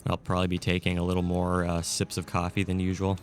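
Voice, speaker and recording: A man with a scratchy, raspy throat, casually commentating a video game as he plays. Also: deep voice, completely flat delivery, fast, faint music behind. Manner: chatty, thinks aloud with fillers. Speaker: gameplay commentator. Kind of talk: casual let's-play commentary.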